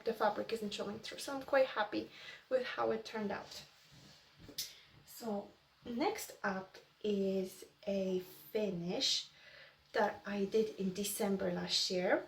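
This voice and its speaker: Woman, distant from the mic. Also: mid-range voice. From Poland. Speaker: craft channel host. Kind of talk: casual vlog chat to viewers.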